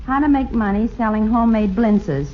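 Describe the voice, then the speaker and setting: A woman's voice: a little high, normal speed, natural voice. An actress playing a practical, level-headed woman in a 1950s radio comedy, with dry, mock-earnest delivery. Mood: musing. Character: level-headed female companion and mock-earnest storyteller nurse. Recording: muffled, noisy 1950s radio recording.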